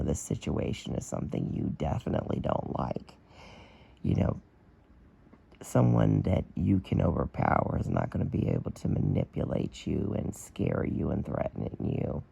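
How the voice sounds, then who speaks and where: A man with a badly hoarse, strained voice holds forth in a slow, unhurried vlog. His tone is dark and heavily gravelly, with a raspy rough edge throughout. He talks bluntly, in a plain opinionated way, with fillers. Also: low voice. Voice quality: damaged, strained voice. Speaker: vlogger. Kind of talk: casual commentary to camera.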